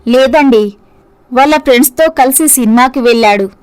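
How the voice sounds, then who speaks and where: A woman playing a dutiful, principled housewife and mother in a scripted story, speaking earnestly and in measured phrases. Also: high-pitched, a little mellow, smooth, lightly acted.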